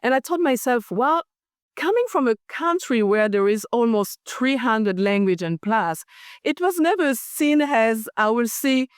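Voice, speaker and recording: Woman, smooth and earnest. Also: on the high side, animated, measured pace, no room sound, clean studio sound. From Democratic Republic of the Congo. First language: Chiluba. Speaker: company founder and CEO. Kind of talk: podcast interview.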